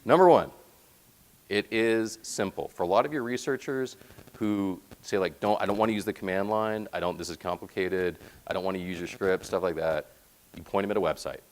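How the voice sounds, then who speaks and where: A man with a deep, resonant voice presenting technical slides at a conference, explaining things in a plain, informal way. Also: brisk, poor audio. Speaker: conference presenter, software platform team member. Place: presentation room, speaking to slides.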